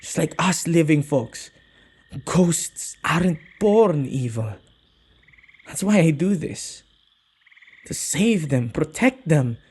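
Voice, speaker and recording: A man playing an earnest, protective male witch in an ASMR roleplay, talking in an emotive, halting way. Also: on the high side, expressive, darkish tone, husky, heavily acted. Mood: impassioned, indignant. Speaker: audio roleplay voice actor.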